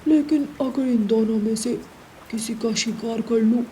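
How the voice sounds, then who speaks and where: A woman's voice: fairly high pitch, unhurried, mellow, a little hiss or hum, lightly acted, poor audio. A woman voicing a cruel, greedy male hunter who gloats, in a Hindi cartoon story. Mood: gloating. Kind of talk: Hindi animated story dubbing.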